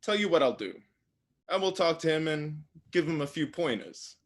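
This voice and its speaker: A man's voice: fairly high pitch, animated, moderate pace, natural voice. A man playing a scheming, evil god of the underworld in a comic staged reading, theatrical and sly. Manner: theatrical, sly, mock-sweet.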